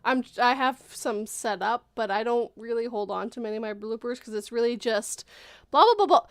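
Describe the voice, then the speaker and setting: A woman's voice: fairly high pitch, moderate pace, no echo. A woman from the Canadian West Coast with a slight Canadian accent, hosting a casual chat and laughing often. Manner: laughs often, self-deprecating. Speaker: stream host.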